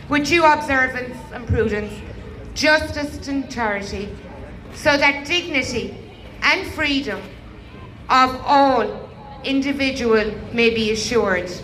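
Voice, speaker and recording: A woman giving a slow, measured, ceremonial reading and address at an outdoor rally. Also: high-pitched, pushed and bright. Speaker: rally speaker. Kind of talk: solemn public reading and address. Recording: roomy outdoor sound with faint noise.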